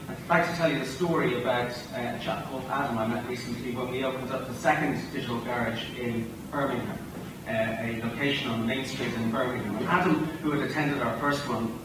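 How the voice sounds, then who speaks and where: A man with an Irish accent giving a measured conference panel speech, often pausing on uh. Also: medium pitch, fairly even intonation. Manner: measured, frequent uh fillers. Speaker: tech company executive panelist. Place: large hotel conference hall. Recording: echoey hall, distant mic, noisy.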